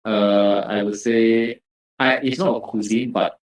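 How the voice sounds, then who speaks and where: Low Malaysian man in roomy echo. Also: fairly even intonation, unhurried. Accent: Malaysian English. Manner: laid-back, trails off, "lah" endings. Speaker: podcast guest. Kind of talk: casual interview chat.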